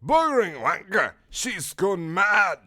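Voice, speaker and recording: A man voicing an excitable, foul-mouthed little robot sidekick in a comic fan fiction reading. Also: a little high, measured pace, pitched above the performer's own voice, full character voice. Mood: panicked.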